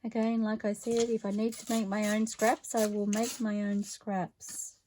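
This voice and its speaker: A woman talks through her crafting at a slow, easy pace. Her voice sits a little high and sounds mellow, with a croaky edge today. She chats casually, narrating each step as she works. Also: flat delivery, a little hiss or hum. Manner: chatty and unhurried, talking through each step. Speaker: craft vlogger. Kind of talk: relaxed craft narration while working.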